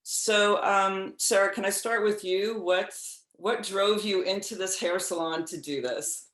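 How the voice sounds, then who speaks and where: A moderator welcoming panelists and asking questions with enthusiasm, rambling a little with many fillers. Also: male voice, high-pitched, unhurried, bright, forceful tone, reverberant. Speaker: moderator, TV critic.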